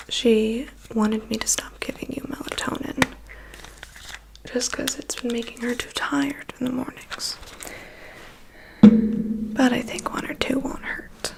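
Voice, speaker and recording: A woman's voice: on the high side, fairly even intonation, poor audio. Woman playing a whispering, gossipy vampire's assistant. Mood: matter-of-fact, caring. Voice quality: soft, breathy whisper. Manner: confiding, gossipy, unhurried. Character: chatty, gossipy, weary female assistant to a vampire. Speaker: ASMR performer. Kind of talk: ASMR personal attention roleplay.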